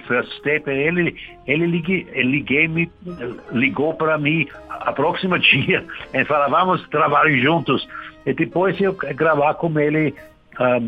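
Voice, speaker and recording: A man who is not a native speaker, a guest musician in a radio interview, talking haltingly and searching for words. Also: fairly high pitch. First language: not Portuguese. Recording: dull radio broadcast sound.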